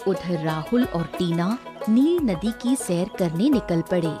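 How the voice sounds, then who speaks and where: A woman's voice: fairly low pitch, lively intonation, a little slow, music in the background. A woman reading scripted narration for a story, as its narrator.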